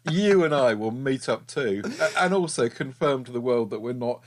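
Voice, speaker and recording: A man's voice: medium pitch, expressive, normal speed. Chatty man hosting a podcast. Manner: chatty, jokey, with filler ums. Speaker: podcast co-host. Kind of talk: casual chat.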